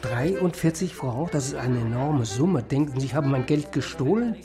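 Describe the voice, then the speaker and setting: An actor playing a shrewd, miserly, powerful financier in a German radio drama, brisk and drily courteous as he haggles. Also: mid-range voice, animated, over music, lightly acted. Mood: miserly, indignant.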